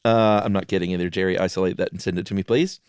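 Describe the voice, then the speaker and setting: A man narrating a story dramatically as co-host, with playful, expressive delivery and joking asides. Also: low-pitched, very fast, smooth, no room sound, studio quality.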